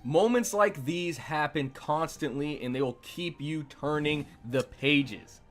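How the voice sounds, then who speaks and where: A man's voice: on the high side, slow, a bit bright. A man narrating a scripted manga review in the style of a video essay. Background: music.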